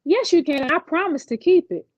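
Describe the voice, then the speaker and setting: A woman plays a playful, protective schoolgirl best friend in a children's story. Her pitch is high and very animated. She sounds reassuring with a touch of sass, in a dry, slightly muffled recording. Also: fairly quick.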